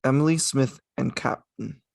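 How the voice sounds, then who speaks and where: A man with a gravelly, creaky voice talks through a casual podcast chat. He stumbles and restarts, filling gaps with fillers. The sound is dry and close. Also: mid-range voice, no echo. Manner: halting, many restarts and fillers. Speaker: podcast co-host.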